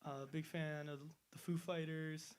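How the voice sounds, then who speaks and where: A man's voice: on the high side, flat delivery, unhurried, clean tone. A nineteen-year-old man answering interview questions as a band member, chatty and self-deprecating. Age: teens.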